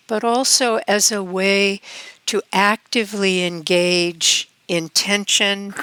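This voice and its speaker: A woman leading a guided meditation in a calm, measured manner, with long pauses between phrases. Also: on the low side, clear, resonant tone, no echo.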